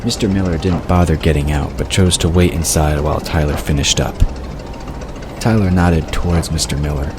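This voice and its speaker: A man's voice: rumbling low, fairly even intonation, normal speed, over music. A man reading scripted audiobook narration of a story. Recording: clear noise behind the voice. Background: ambient sound effects.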